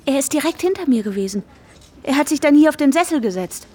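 An actress playing an anxious wife searching for her missing husband in a radio drama, urgent and breathy, often slipping toward a whisper. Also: sits high, expressive, fast, dark tone. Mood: panicked. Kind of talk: radio drama acting with intimate inner monologue. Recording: guitar music behind the voice. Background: guitar music.

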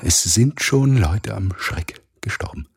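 An actor playing a fearful, self-pitying army captain in a radio play, husky, maudlin and theatrical. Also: male voice, on the low side, lively intonation, takes it slowly, full character voice. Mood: frightened, self-pitying. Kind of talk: German-language radio play acting.

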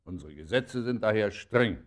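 An actor playing a pompous, officious district magistrate in a German radio play, speaking with formal courtesy and measured bureaucratic authority. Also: male voice, lowish voice, animated, lightly acted. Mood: stern, officious.

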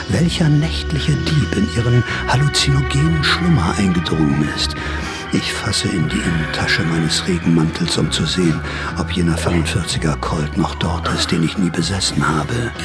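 A man's voice: lowish voice, natural voice. A man playing a sly typewriter thief who narrates his own story in a theatrical, wry radio-play style. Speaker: first-person narrator and lead of a radio drama.

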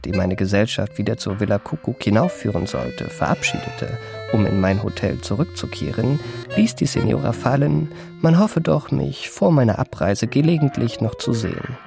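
An urbane gentleman storyteller with a mid-pitched, creaky voice. He narrates in elegant, self-pleased, literary phrases, with clear music underneath, as in a radio play. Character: urbane, self-satisfied gentleman narrator recalling his own exploits. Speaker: first-person narrator.

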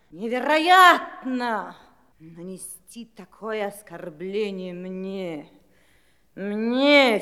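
An actress playing a wicked, wrathful fairy with a menacing, drawn-out theatrical delivery in a fairy-tale audio play. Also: female voice, fairly high pitch, a bit bright, clean tone. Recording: long hall reverb, faint music.

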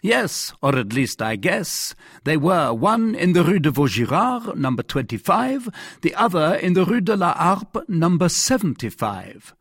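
Storybook cardinal, played by a man: commanding, sly, condescending, animated pitch, husky, recorded dry. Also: unhurried, no room sound, heavily acted. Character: commanding, cunning, falsely benevolent male cardinal.

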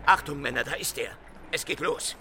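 A man playing a gruff, scheming police inspector in a radio drama, with a commanding, emphatic delivery. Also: a little high, fairly quick, bright, forceful tone, soft background music, exaggerated voice. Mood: urgent, commanding.

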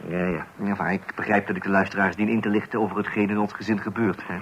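A man's voice: on the low side, fairly even intonation, fast, natural voice. An actor playing a wry husband who narrates his family life in a radio play with mock-solemn musing. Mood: musing, nostalgic. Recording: dull, hissy old radio recording.

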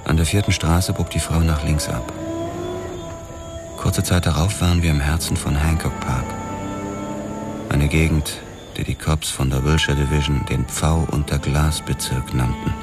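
A brooding detective who narrates his own story in a radio play, calm and measured. His voice is extremely deep. Loud music plays behind him. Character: male detective narrating in first person. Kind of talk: hardboiled first-person narration.

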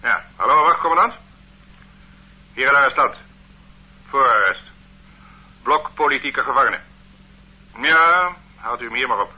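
An actor playing a stern, condescending police officer who lectures a detainee in an authoritarian way in a radio drama. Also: male voice, medium pitch, expressive, unhurried, pauses often, breathy edge. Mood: curt, commanding. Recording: muffled old radio play recording.

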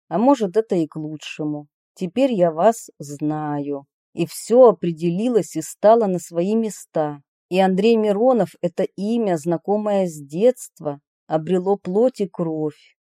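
A woman reading personal letters aloud in an expressive way. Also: lowish voice, dry recording, studio quality.

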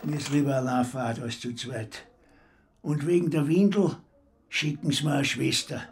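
A man's voice: mid-range voice, normal speed, lightly acted. An actor playing a bitter, sarcastic bedridden old man in a radio drama, mocking and blunt, with an Austrian accent. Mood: grumpy. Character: bitter, sarcastic, bedridden old male care-home patient.

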